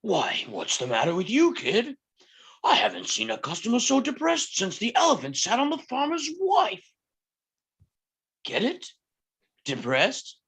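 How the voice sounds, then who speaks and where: A man's voice: wildly swooping pitch, a bit bright, husky, heavily acted. A man reading the part of a brash, wisecracking middle-aged circus owner with a blunt, joking manner. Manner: joking, blunt, fast-shifting pitch. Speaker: table read actor. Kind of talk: live table read acting.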